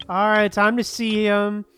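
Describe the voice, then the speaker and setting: Female game streamer, mid-pitched, relaxed casual commentary, giggly, light game music underneath. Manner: easygoing, appreciative, giggly.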